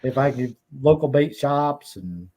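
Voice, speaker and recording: A man's voice: mid-range voice, expressive, a little slow, warm tone. A man talking as a guest on a casual fishing podcast, easygoing, laughing now and then and restarting his sentences. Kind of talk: casual chat.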